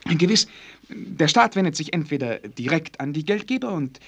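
Radio drama: sheltered young prince, lightly high voice, very animated, courtly, earnest, halting. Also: male voice, exaggerated voice. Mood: eager, studious. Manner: courtly, eager, hesitant and halting. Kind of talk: German radio drama acting.

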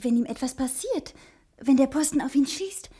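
Anxious, devoted wife, high voice. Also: female voice, expressive, normal speed, mellow, breathy edge. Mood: worried, devoted. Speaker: actress in a radio crime drama. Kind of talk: 1960s German radio drama acting.

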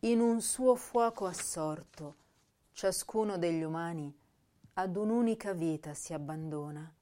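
A woman's voice: fairly low pitch, a little slow, natural voice. An actress playing an intense, troubled young woman poet of 1930s Italy, reading diaries and verse expressively in a radio drama. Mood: solemn, slow. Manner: expressive, introspective.

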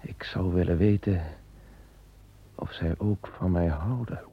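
A brooding, superstitious emperor. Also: male voice, low-pitched, unhurried, husky, lo-fi sound. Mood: grave. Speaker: emperor in a radio play. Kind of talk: radio drama acting.

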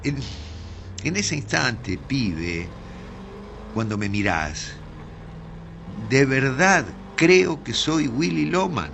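An actor playing an older Argentine theatre troupe leader, sentimental and theatrical, in radio drama, with emotional, halting delivery. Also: male voice, medium pitch, expressive, creaky, noisy background, heavily acted. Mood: earnest, moved.

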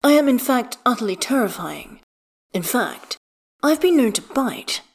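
A woman's voice: fairly high pitch, big pitch swings, a little slow, husky, voice pushed up, exaggerated voice. A woman voicing a flamboyant, teasing man with an arch, theatrical manner in an audio story. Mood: arch, playful.

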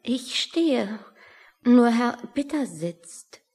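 An actress playing a prim, cautious colleague who quietly needles, in a German radio play, often half whispering. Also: female voice, on the high side, animated, very slow, breathy edge, full character voice. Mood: hesitant, worried.

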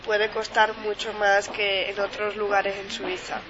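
A woman's voice: mid-range voice, level tone, unhurried, muffled recording, crowd noise. A woman in her twenties from Switzerland, a master's student speaking as a guest in a casual radio interview, often adding tag questions. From Ticino, Switzerland.

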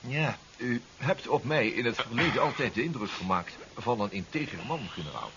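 Hesitant professor, animated pitch. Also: male voice. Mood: wary, formal. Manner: formal, wordy, halting with repeated words. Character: hesitant, formal male professor and inventor, sceptical. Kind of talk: 1970s radio drama acting. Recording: dull, hissy old radio recording.

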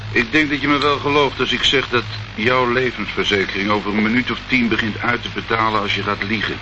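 A low-pitched crime boss, acted by a man in a radio play, courteous on the surface and coolly threatening underneath. His polite, mocking delivery sits in a dull, clearly noisy old radio recording. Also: steady tone, brisk, close to an everyday voice. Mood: suave, menacing. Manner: polite veiled threats, mock admiration.

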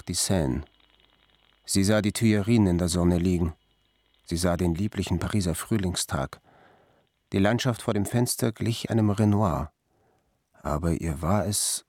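A man narrating scripted literary prose in a measured, declarative manner. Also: low-pitched, a little mellow, no echo.

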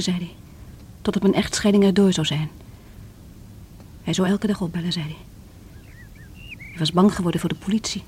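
Breathy, distraught woman, acted in radio drama. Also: medium pitch, natural voice. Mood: recounting sadly. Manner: theatrical, emotional, often breathy and hushed. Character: distraught lovelorn divorced woman confessing bitterly to a police inspector. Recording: noisy vintage radio play recording.

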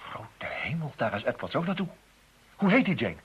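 An actor playing a brisk, dogged, wry investigator in a radio drama. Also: male voice, on the high side, sing-song, slightly rough, exaggerated voice. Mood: urgent. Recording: dull, faintly noisy old radio recording.

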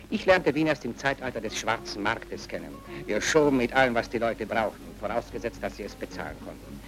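A man's voice: mid-range voice, close to an everyday voice, poor audio. An actor playing a wry, worldly man who narrates a radio drama in an ironic, conversational way.

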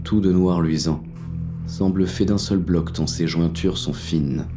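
An actor playing a wary police inspector on a burglary, narrating in a terse, tense inner monologue for an audio drama. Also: male voice, deep voice, fairly even intonation, unhurried, music in the background. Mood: wary, focused.

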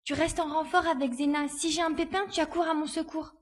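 A woman playing a spirited, resourceful young girl in a dramatised audiobook, lively and assured in her delivery. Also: sits high, fairly quick, close to an everyday voice. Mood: firm, teasing. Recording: faint background noise.

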